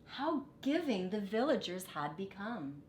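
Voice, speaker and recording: A woman reading a children's story aloud with expressive, dramatic phrasing. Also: a little slow. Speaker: storybook reader. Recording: echoey room, faint low rumble.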